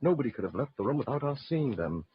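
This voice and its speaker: An actor playing a grave narrator telling a mystery in suspenseful, dramatic old-time radio style. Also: male voice, mid-range voice, big pitch swings, quick pace, natural voice. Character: grave first-person narrator of a ghostly mystery. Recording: muffled, slightly noisy old radio recording.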